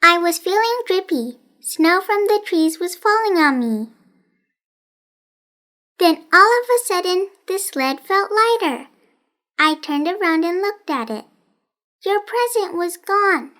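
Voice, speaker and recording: A woman's voice: very high-pitched, lively intonation, takes it slowly, bright, forceful tone, voice pushed up, exaggerated voice. A woman voicing a strange, eager little girl in a storybook, chirpy and insistent. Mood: earnest.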